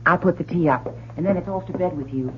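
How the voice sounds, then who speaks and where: A woman's voice: lowish voice, fast, lightly acted. An actress playing a fretful, doting middle-aged wife and mother in an old-time radio drama, emotional and fussing. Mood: anxious, insistent. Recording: tinny, noisy old radio recording.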